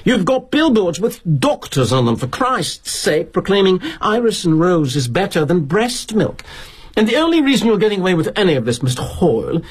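A man's voice: high voice, sing-song, fairly quick, few pauses, slightly rough, pitched above the performer's own voice, heavily acted. An actor voicing an indignant young British male employee, earnest and sputtering with moral outrage in a dramatic monologue. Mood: indignant, accusing. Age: young adult.

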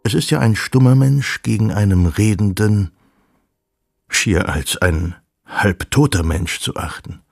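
An actor playing a solemn reciter of old scripture in a radio play, with grave, deliberate phrasing. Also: male voice, fairly low pitch, creaky, no echo. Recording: music under the voice. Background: music.

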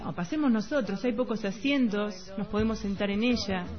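A woman's voice: medium pitch, normal speed. A woman with an Argentine Rioplatense accent co-hosting a literary radio programme. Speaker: radio co-host. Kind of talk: literary radio talk with poetic narration and read quotes. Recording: dull lo-fi radio recording. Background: background music.

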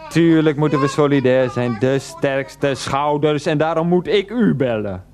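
An actor playing an exasperated middle-aged husband and son in a radio play, flustered, repetitive and placating. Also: male voice, fairly high pitch, a little slow, close to an everyday voice. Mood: resigned, placating.

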